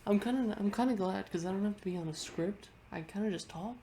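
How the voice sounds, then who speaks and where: Congested woman rambling with a gravelly voice. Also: medium pitch, quick pace, warm tone, slight background noise. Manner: rambling and offhand, restarting sentences often. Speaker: vlogger. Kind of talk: casual chat ranking things on a tier list. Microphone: webcam.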